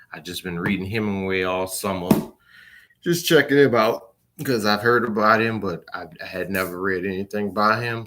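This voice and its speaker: A man talking casually and informally as a book reviewer, in a halting, rambling way that trails off at the ends of phrases. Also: low-pitched, pushed and bright.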